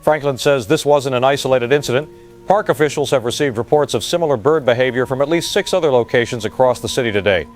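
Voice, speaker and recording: A man's voice: mid-range voice, fast talker, faint music behind, close to an everyday voice. A man playing an earnest TV field reporter, giving a formal scripted live report. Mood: earnest, serious.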